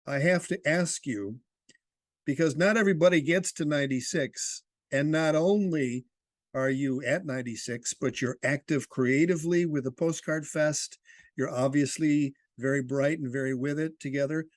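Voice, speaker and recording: A man's voice: a little high, normal speed, dry recording, studio quality. A man conducting a conversational interview, speaking in an encouraging, jokey and teasing manner.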